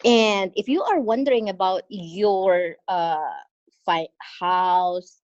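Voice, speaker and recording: A woman from the Philippines with a Filipino accent, an exchange teacher giving an earnest, encouraging talk in an online meeting, pausing often. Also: medium pitch, a little mellow, dry recording, lo-fi sound. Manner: earnest and encouraging, with frequent pauses and fillers. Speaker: exchange teacher sharing her experience. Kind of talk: motivational presentation in an online meeting. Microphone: video call.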